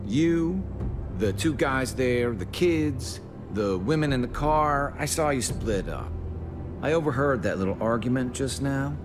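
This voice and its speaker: An actor playing a shrewd, armed stranger in an apocalypse video game, with a folksy, probing way of talking. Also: male voice, mid-range voice, lively intonation, a little slow, close to an everyday voice. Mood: cool, controlled. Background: music.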